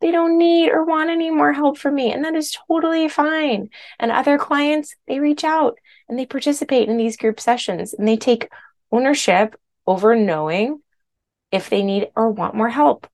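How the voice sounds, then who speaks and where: A woman hosting her own podcast as a weight loss coach, a little hoarse from a sore throat, talking in a chatty, candid way. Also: high voice, moderate pace, a little mellow. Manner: chatty, candid, uses filler words. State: hoarse, recovering from strep throat. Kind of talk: casual podcast monologue.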